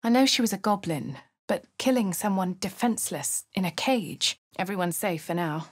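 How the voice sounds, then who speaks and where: A woman with a posh English accent speaking a game hero's lines and first-person narration in a measured way. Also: medium pitch, animated, no echo, studio quality. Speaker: player character voice. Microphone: studio mic.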